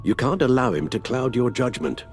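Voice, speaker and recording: An actor playing an elderly British butler and mentor in a video game, caring and worried, with formal, measured, genteel phrasing. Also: male voice, medium pitch, very animated, natural voice. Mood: worried, solemn. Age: older. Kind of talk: dramatic video game voice acting. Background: background music.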